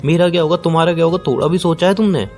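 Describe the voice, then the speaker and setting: An actor plays a young boyfriend in a relationship audio drama. His voice sits fairly high for a man, pleading and incredulous, hurt and pressing. Background music runs beneath it. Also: very fast, a little mellow, lightly acted. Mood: pleading, exasperated. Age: young adult. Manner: repetitive, pressing, exasperated. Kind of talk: acted phone-call drama in conversational Hindi with some English.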